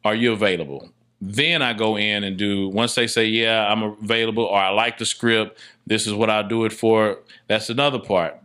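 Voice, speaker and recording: A man's voice: lowish voice, fairly even intonation, normal speed, sharp tone. A man speaking as a podcast guest, explaining a process step by step in casual talk, repeating phrases for emphasis. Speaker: podcast guest, filmmaker and playwright.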